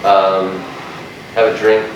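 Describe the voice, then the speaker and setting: A man, a dietitian giving a lecture to health workers in a large room, with a loud, strong voice and a passionate, joking manner. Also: low voice, level tone, takes it slowly, lo-fi sound, noisy background. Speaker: dietitian presenter. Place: large echoey training room. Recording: echoey room, speaker far from mic.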